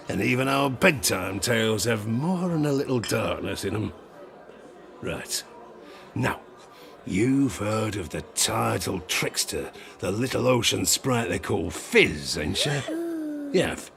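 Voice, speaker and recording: Gravelly old storyteller, swooping pitch. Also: male voice, a bit bright, exaggerated voice. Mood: gruff, teasing. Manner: theatrical, gruff, suspenseful storytelling with dramatic pauses. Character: grizzled old sailor telling children dark bedtime tales. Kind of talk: audio drama monologue.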